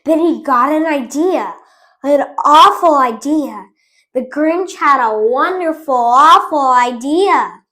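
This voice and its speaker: Slow, high-voiced woman reading aloud. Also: expressive, bright, forceful tone. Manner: chatty and friendly with viewers. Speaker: storytime host. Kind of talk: reading a picture book aloud.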